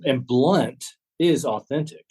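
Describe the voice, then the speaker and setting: A man from Texas, a former agent turned author, answering interview questions in a steady, measured way. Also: medium pitch, breathy edge.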